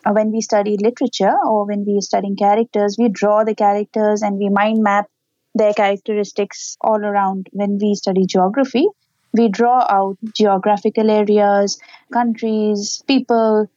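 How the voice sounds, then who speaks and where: A woman's voice: medium pitch, level tone, normal speed, dark tone, no room sound, lo-fi sound. A woman, a parent giving earnest, explanatory answers in an interview. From Pakistan. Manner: earnest, explanatory, with small laughs. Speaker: interview guest, parent and educator.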